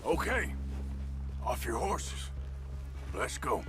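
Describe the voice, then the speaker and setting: An actor playing a commanding, steady outlaw gunslinger in a western video game, deliberate and authoritative. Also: male voice, mid-range voice, music in the background. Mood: low, curt. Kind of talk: video game cinematic acting.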